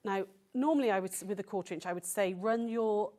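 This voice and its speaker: A woman with a croaky voice from a cold, demonstrating quilting step by step on a live show. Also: medium pitch, brisk, warm tone. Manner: patient step-by-step instruction with frequent "okay?" checks. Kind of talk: sewing demonstration. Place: TV studio.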